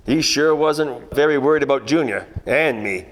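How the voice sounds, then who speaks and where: A man playing a wisecracking, world-weary 1940s private detective, narrating a radio noir parody in deadpan hard-boiled style. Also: medium pitch, moderate pace, long reverb tail, natural voice. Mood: brooding, wry.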